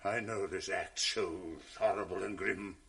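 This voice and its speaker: An actor playing Othello, a proud general, who swings between roaring anguish and low grief in a 1980s radio drama. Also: male voice, fairly even intonation, a little slow, gravelly, fairly bright tone, exaggerated voice.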